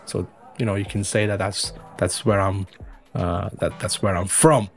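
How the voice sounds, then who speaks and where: A man's voice: low voice, fairly even intonation, normal speed, creaky, soft background music. A man from western India, an English teacher, talking casually as an interview guest and often rephrasing himself. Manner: rambling, repeats and rephrases himself.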